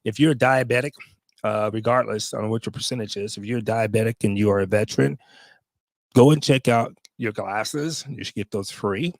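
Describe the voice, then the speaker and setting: A man's voice: lowish voice, normal speed, gravelly, dry recording. A man in his late fifties, a veteran vlogger talking casually to his viewers, rambling with many fillers and restarts. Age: 50s. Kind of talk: casual talk to camera.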